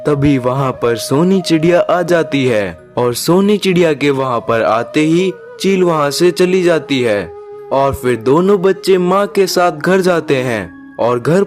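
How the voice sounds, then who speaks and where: A man's voice: a little high, animated, a little slow, soft background music. A man reading scripted narration as the storyteller of a children's moral story.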